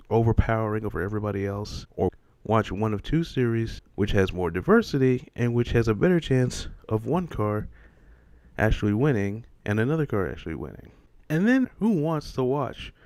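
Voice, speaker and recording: A man's voice: medium pitch, darkish tone, dry recording. A man hosting an opinion video, talking casually and in an exasperated way, with plenty of rhetorical questions. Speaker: host of a motorsport opinion video.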